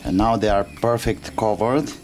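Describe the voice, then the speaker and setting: A man who speaks English as a second language, hosting a casual hands-on tutorial in simple, halting step-by-step phrases. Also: fairly low pitch. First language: not English. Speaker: DIY tutorial host. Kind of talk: casual hands-on how-to narration. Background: music.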